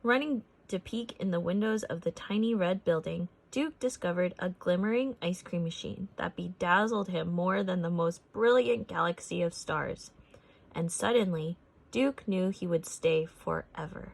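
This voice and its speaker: A woman narrating a picture book as a read-aloud for children, expressive and deliberate in her phrasing. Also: fairly low pitch, gravelly.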